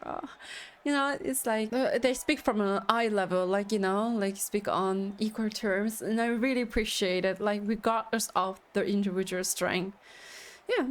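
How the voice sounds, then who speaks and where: A woman's voice: medium pitch, fairly even intonation, normal speed. A young woman gaming streamer giving casual live reactions and reading lines aloud.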